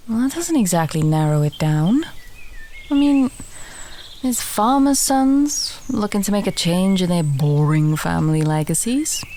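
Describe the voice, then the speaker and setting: Unhurried, low-voiced friendly alchemist played by a woman. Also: a little mellow, slight background noise. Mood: curious, coaxing. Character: friendly female village alchemist, warm, teasing, earthy, encouraging. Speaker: voice actor in a fantasy audio roleplay. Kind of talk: fantasy audio roleplay addressed to the listener.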